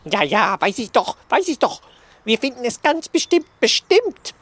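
A woman playing a boastful, scatterbrained male crow in a German radio play, repeating phrases in an excitable bird manner. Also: fairly high pitch, moderate pace, slightly rough, dry recording, full character voice. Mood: eager, placating. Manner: repeats phrases, squawking interjections.

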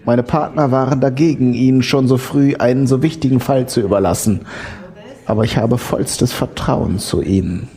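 A man's voice: mid-range voice, moderate pace, dark tone, natural voice. A man playing a demanding senior partner at a law firm in an audio drama, businesslike and patronising. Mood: pressing, businesslike.

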